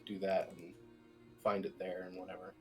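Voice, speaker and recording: A man's voice: low-pitched, flat delivery, moderate pace, soft background music. A man giving an informal technical tutorial as team lead, explaining things in a loose, rambling way. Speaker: software team lead, instructor.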